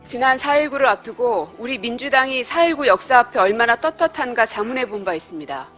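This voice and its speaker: A woman politician delivering a prepared political speech in a formal, measured way. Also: on the high side, expressive, fairly bright tone, soft background music.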